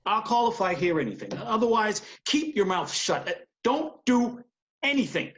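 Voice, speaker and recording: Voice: mid-range voice, big pitch swings, normal speed, a bit bright, muffled recording. A performer playing an exasperated, stern colleague giving blunt, commanding orders in a screenplay table read.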